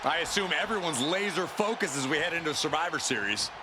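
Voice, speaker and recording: Man acting high-pitched ringside commentator, loud arena. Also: lively intonation, fast, close to an everyday voice. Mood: excited. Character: lively male wrestling colour commentator. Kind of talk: wrestling commentary. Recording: very noisy arena ambience.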